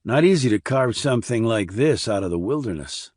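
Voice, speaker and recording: A man's voice: medium pitch, expressive, fairly quick, a little mellow, dry recording, clean studio sound, close to an everyday voice. A man voicing a blunt, probing army investigator in audiobook dialogue, terse and questioning. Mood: frank.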